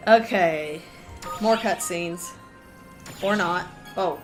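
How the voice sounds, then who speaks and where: A woman's voice: on the low side, drawn-out words. Woman streaming a game over music. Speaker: streamer playing an online game. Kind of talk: casual game commentary mixed with reading dialogue aloud. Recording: music playing behind the voice.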